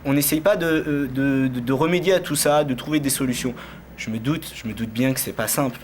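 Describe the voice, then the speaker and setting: Hesitant man, medium pitch, faint noise. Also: brisk. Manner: hesitant, repeats phrases. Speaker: street interviewee. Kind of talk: casual, slangy interview talk.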